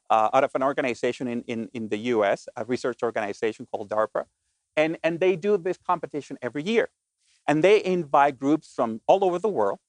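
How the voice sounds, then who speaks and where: A man's voice: fairly high pitch, dry recording. A middle-aged man from Colombia, giving an earnest business keynote with frequent restarts. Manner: earnest, many restarts and filler words. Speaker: conference speaker.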